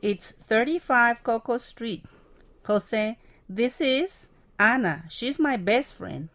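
A woman's voice: on the high side, lively intonation, slow pace, a bit bright, no echo, heavily acted. A woman playing a cheerful teen schoolgirl. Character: cheerful teenage schoolgirl, sixteen.

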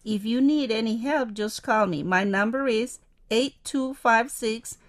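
A woman's voice: a little high, a little slow, close to an everyday voice. A woman playing a helpful young commuter. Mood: friendly. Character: helpful young working woman, twenty-seven.

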